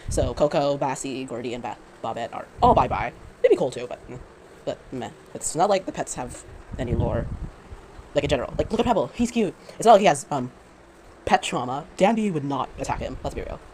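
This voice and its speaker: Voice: medium pitch, sing-song, brisk, a little mellow, poor audio. A person giving an unscripted, sarcastic fan rant, rambling with stammers and frequent self-corrections. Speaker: fan commentator. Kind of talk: unscripted opinion rant about a game. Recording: amateur recording with clear background noise.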